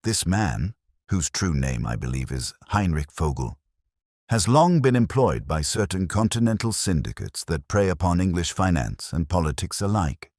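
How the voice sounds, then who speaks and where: Man acting as a composed Victorian sleuth, extremely low voice, animated swoops, theatrical, precise, storybook detective. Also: normal speed, no echo, clean studio sound. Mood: composed, lecturing. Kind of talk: audiobook detective story dialogue.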